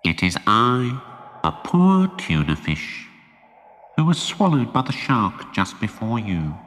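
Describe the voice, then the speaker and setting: A man playing a gloomy philosophical tuna fish, fatalistic and pompous, with a low, mournful voice of doom. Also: very animated, takes it slowly, fairly bright tone, slight background noise. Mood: pompous, resigned. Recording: long cavernous reverb, background ambience.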